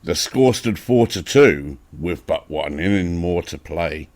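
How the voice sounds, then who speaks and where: A man with an English accent giving a dramatic, theatrical recitation of a narrative poem, with deliberate, emphatic phrasing. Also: low voice, animated, darkish tone. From England. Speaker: poetry reader.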